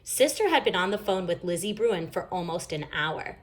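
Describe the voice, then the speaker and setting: Animated woman reading a children's story. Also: fairly low pitch, normal speed. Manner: animated, dramatic emphasis. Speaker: story reader. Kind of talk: expressive children's storybook read-aloud.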